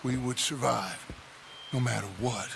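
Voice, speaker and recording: An actor playing a ruthless middle-aged outlaw gang leader in a video game, with an American accent and a theatrical, persuasive way of talking. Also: male voice, mid-range voice, measured pace, gravelly, noisy background. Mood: earnest, self-justifying. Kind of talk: video game cutscene acting. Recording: game music behind.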